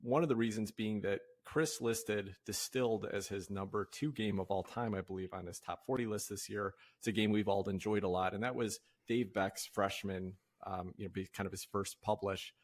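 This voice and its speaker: A man hosting a podcast in casual discussion, speaking conversationally and haltingly as he thinks aloud. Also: fairly low pitch, fairly even intonation. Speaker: podcast host leading a discussion.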